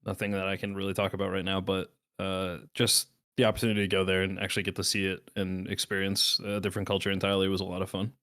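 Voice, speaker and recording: A man's voice: low voice, monotone, fast, few pauses, fairly bright tone. A man chatting upbeat as a podcast guest, keeping some things guarded. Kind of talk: casual podcast chat.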